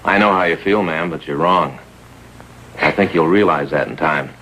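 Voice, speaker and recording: Low, forceful American police officer. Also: male voice, moderate pace, breathy edge, heavily acted, rough recording. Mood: earnest, firm. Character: firm, sympathetic male police sergeant, plain-spoken. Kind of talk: 1950s police drama dialogue.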